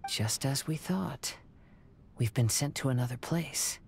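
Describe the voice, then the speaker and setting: Casting: serene, soft-spoken young male wanderer for a video game, medium pitch with vocal fry. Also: a little slow, slight background noise. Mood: composed. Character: calm, gentle, reflective young male wanderer.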